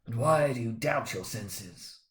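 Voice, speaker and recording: A man voicing a mournful male ghost in a dramatic storybook reading, with a slow, drawn-out, wailing solemnity. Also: medium pitch, expressive, fairly bright tone. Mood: eerie, solemn. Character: mournful male ghost of a dead business partner.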